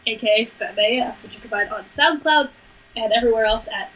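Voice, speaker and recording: A woman's voice: fairly high pitch, a little fast, fairly bright tone, low-quality recording. A woman co-hosting a podcast, chatting casually and plugging the show in a teasing, sweary, mock-bossy way. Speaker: podcast co-host. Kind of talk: casual banter and show plugs.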